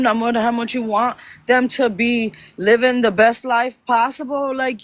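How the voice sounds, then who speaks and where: A woman giving blunt, emphatic advice in a group chat, with some swearing. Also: fairly high pitch.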